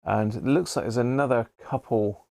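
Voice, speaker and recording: Male streamer, informal and unhurried, with a creaky edge and dry sound. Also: mid-range voice, mellow, no room sound. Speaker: live stream host. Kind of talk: casual live chat, hobby radio demonstration.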